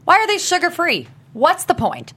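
A woman acting a sharp-tongued, sarcastic divorced mother in her sixties at a script read. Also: extremely high pitch, huge pitch swings, moderate pace, a little hiss or hum, exaggerated voice. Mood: grumbling. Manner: dry, tart, disapproving. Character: sharp-tongued sixty-four-year-old divorced mother, fussy and sarcastic.

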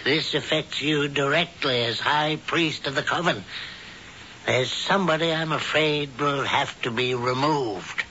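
An actor playing a sinister middle-aged man who calmly plots for his coven in a radio drama. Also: a little high, measured pace, highs cut off, noisy background, lightly acted. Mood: scheming. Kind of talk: 1970s radio drama acting.

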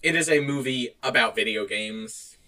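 Male co-host, bright edgy tone, mid pitch, opinionated and casual. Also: moderate pace. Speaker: podcast co-host. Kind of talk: casual chat about movies.

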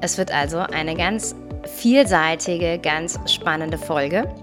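Calm woman podcaster, slightly stuffy. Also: low voice, takes it slowly, music in the background. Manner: calm, friendly direct address. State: slightly stuffy nose from a cold. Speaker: podcast host, yoga teacher and coach. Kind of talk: solo podcast talk.

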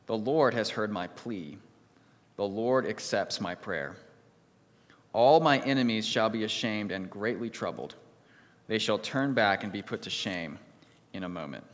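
A pastor reads a psalm in a measured, solemn voice, then prays and preaches a sermon to his congregation. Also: lowish voice, long reverb tail. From United States. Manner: measured, solemn reading, livelier when telling stories. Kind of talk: sermon with scripture reading and prayer.

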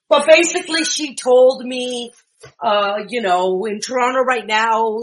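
A woman's voice: fairly high pitch, bright, forceful tone, rough recording. A woman whose first language is Greek, casually talking listeners through a screen-reader tutorial with frequent check-ins. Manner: chatty, explanatory, with frequent "okay?" check-ins. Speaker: tech instructor.

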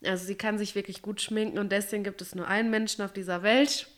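A woman's voice: medium pitch, little pitch movement, fast. A woman chatting casually and emphatically as a podcast co-host, a little stuffy from a cold.

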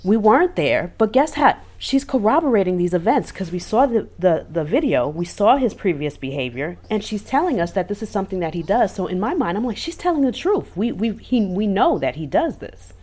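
A woman's voice: medium pitch, big pitch swings, brisk, hardly any pauses, darkish tone. A woman co-hosting a casual podcast, telling stories in a chatty way with emphatic asides. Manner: conversational storytelling, emphatic asides. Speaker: podcast co-host.

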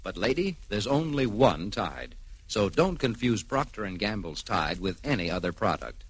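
Low, husky radio pitchman. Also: sing-song, normal speed, rough recording. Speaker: commercial announcer. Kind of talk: vintage radio ad read.

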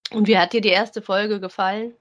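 A woman, co-hosting a relaxed, conversational review of audio dramas. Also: medium pitch, fairly quick. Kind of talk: casual review chat.

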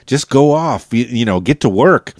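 A man's voice: medium pitch, expressive, normal speed, dry recording, clean studio sound. An American man hosting a podcast, chatting casually in a rambling, jokey way with his guest. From United States. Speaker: podcast host. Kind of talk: casual interview chat.

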